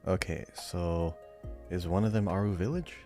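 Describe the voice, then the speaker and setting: A man's voice: low voice, steady tone, moderate pace, warm tone, faint music behind. A man on a podcast quiz, casually thinking aloud in a hesitant, musing way.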